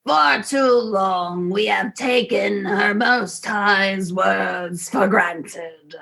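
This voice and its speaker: A woman acting a fiery, accusing political agitator, declamatory and deliberate, in an audio drama. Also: mid-range voice, creaky, a bit bright, exaggerated voice. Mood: indignant. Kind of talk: radio drama oratory.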